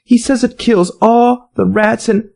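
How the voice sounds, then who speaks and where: A man's voice: very high voice, steady tone, unhurried, creaky, darkish tone, pitched above the performer's own voice, exaggerated voice. A man voicing a polite, chatty, easily flustered little girl in a storybook, earnest and wordy. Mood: eager. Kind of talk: storybook character voice.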